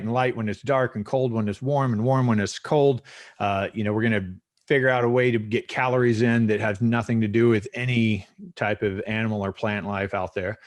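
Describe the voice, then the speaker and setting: Low-voiced Texan doctor, rambling. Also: male voice, no room sound. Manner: rambling, many fillers and restarts, wry. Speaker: physician guest. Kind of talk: conversational interview answers.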